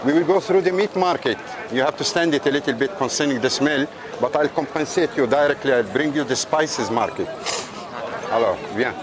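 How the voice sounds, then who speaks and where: Tour guide guy w/ arabic accent, mid pitch, keeps saying look look, busy crowded street behind. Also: a little fast, a crowd in the background. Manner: insistent, pointing things out. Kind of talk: guiding visitors through a market. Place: busy outdoor street market.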